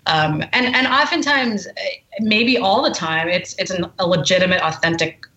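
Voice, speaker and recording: A woman's voice: lowish voice, normal speed, sharp tone, lo-fi sound. A woman from the United States, a memoirist and Marine veteran, speaking candidly as a podcast guest, with many fillers and restarts. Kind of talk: podcast interview.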